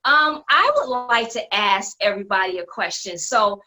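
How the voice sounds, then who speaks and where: A woman with a bright, crisp-edged voice hosts a casual group discussion. She sits at a middling pitch and speaks conversationally, as a producer addressing her panel over a video call from home. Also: animated, moderate pace, poor audio. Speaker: host and theater producer. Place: home studio.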